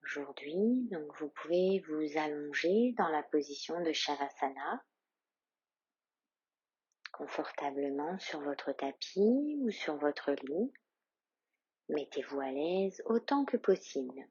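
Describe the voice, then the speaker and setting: A woman yoga instructor leading a guided meditation in a calm, soothing, soft and breathy voice with long, measured pauses. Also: low voice, no echo, dull audio.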